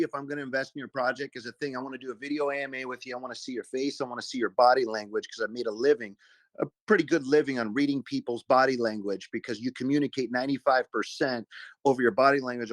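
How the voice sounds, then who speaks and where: A man's voice: mid-range voice, no room sound. A man, a guest investor giving a casual, emphatic and folksy endorsement over a video call.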